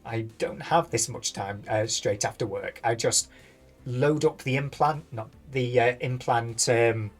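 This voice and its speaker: British gamer, hoarse and husky, reads patch notes and wanders off, middle-pitched. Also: male voice, measured pace, soft background music. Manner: rambling, thinks aloud, loses his words. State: tired, voice going hoarse. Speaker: gaming YouTuber. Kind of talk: reading patch notes with casual commentary.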